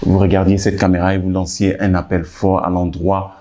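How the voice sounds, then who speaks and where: A man hosting a television interview, asking questions in a measured, formal way. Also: deep voice, flat delivery, dark tone. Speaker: interview host.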